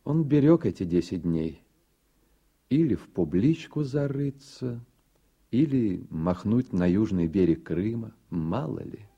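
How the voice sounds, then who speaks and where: An actor playing a reflective literary narrator in a radio play, with a husky voice. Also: male voice, fairly low pitch, lively intonation, unhurried, mellow, muffled recording, full character voice.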